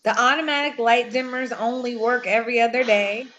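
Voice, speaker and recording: A woman's voice: a little high, brisk, a bit bright, muffled recording, a little hiss or hum, lightly acted. A woman reading the part of an irritable, overworked chief engineer in a script table read, curt and businesslike. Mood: irritated.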